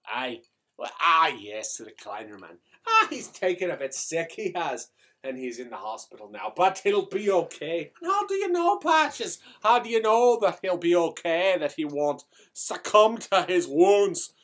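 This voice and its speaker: A man's voice: on the high side, sing-song, measured pace, a bit bright, pitched above the performer's own voice. A man putting on a rambling old Irish storyteller. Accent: put-on Irish. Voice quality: broad dialect, repeating tags like "he has". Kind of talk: improvised comic monologue.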